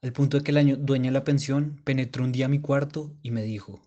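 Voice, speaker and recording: A man's voice: mid-range voice, steady tone, fast, dull audio, very noisy, lightly acted. A man playing a mature German war veteran reminiscing in a radio play. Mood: wistful reminiscing. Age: middle-aged. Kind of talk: radio theatre storytelling.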